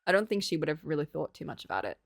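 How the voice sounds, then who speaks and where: A woman's voice: sits low, very animated, very fast, gravelly, no room sound, studio quality. A woman livestreamer reading a visual novel aloud, moving between story narration and casual commentary.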